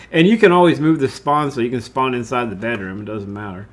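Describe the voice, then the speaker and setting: A man giving an informal screen-share software tutorial, talking through his steps and thinking aloud as he works. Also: medium pitch, moderate pace. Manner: casual, thinking aloud, addresses viewers as guys. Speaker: tutorial host.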